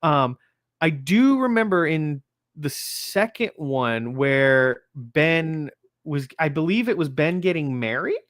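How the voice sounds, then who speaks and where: A man's voice: on the high side, slow, no echo, studio quality. A man from the Bahamas chatting casually in a film review, telling stories animatedly and acting out quotes. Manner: animated storyteller who acts out quotes. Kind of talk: casual chat.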